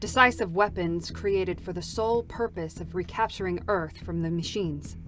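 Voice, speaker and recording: A woman's voice: fairly low pitch, music in the background, natural voice. A woman voicing a world-weary, bitter android soldier haunted by loss, in a grave, dramatic game-story reading. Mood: grim, weary. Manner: slow, brooding, grave delivery. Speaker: voice actor.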